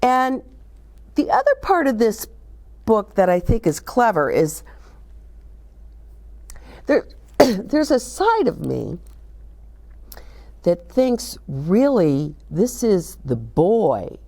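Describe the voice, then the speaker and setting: Unhurried, animated woman children's story host. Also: medium pitch, vocal fry. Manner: expressive, talks directly to young listeners, asks questions. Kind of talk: read-aloud and chatty book talk for children.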